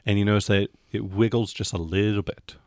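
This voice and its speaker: A man narrating a tabletop role-playing game as game master, halting now and then as he improvises descriptions. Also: on the low side, fairly even intonation, no echo, clean studio sound.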